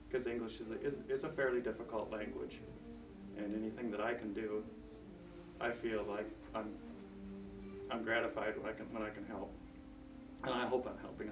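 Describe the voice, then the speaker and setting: Earnest volunteer guy, lowish voice, far off the mic in an echoey noisy room. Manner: earnest, repeats words while searching. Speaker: senior center volunteer. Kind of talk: self-introduction. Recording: roomy, distant, noisy room.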